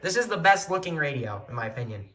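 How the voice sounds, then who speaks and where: A man's voice: fairly high pitch, very animated, fast talker, a bit bright. A man giving a casual show-and-tell tour of a radio collection as an enthusiastic hobbyist.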